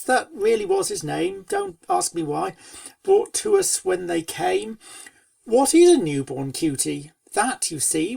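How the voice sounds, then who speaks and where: A woman's voice: mid-range voice, gravelly, darkish tone, no room sound, far from the mic, exaggerated voice. A woman playing a pony storyteller who tells a spooky storybook tale in verse to young foals, with dramatic, schoolteacherly delivery. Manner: dramatic, schoolteacherly, chiding asides. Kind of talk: theatrical storybook narration in verse.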